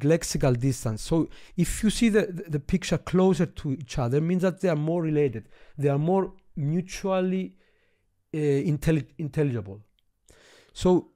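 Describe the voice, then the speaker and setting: A man's voice: fairly high pitch, warm tone. A man with a Greek accent, teaching history in a casual lesson, eagerly explaining and thinking aloud as he points things out.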